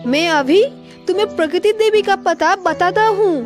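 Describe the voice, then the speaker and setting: A woman's voice: extremely high pitch, moderate pace, soft background music. A woman voicing a helpful little bird guide in a children's cartoon, explaining things. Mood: concerned, helpful.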